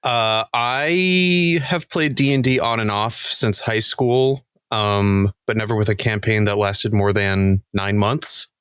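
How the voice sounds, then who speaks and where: A man's voice: on the low side, fairly even intonation, slow pace, few pauses, a bit bright, clear, resonant tone, no echo. A man chatting casually as a podcast cast member, with a wry, dry sense of humor. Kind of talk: casual chat.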